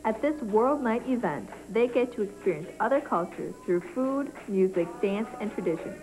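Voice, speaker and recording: A woman's voice: medium pitch, lively intonation, normal speed, music in the background, rough recording. A young woman reporting and narrating a teen news feature in a chatty, explanatory tone.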